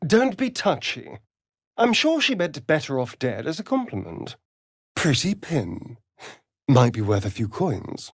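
An actor playing a sardonic, vain vampire rogue in a video game, with an arch, theatrical, drawling delivery. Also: male voice, mid-range voice, big pitch swings, a little slow, breathy edge, no echo, clean studio sound, full character voice. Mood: arch, amused. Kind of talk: video game character lines.

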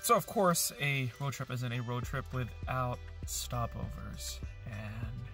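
A man's voice: mid-range voice, slow, over loud music. A young man chatting casually and informally to the camera as a travel vlogger. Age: young adult. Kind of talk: casual vlog chat to camera. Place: living room, moving car, hotel room.

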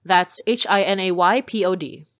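A woman's voice: fairly low pitch, normal speed, no room sound, clean studio sound. A woman podcast creator reading a scripted announcement in a friendly, upbeat way, addressing listeners directly.

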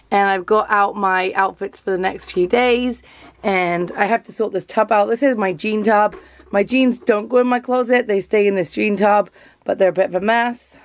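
A woman in her thirties chatting casually into a handheld camera in a cluttered bedroom at home, rambling and poking fun at herself. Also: mid-range voice. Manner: chatty, rambling, self-deprecating. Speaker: vlogger, teacher. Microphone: handheld camera at arm's length.

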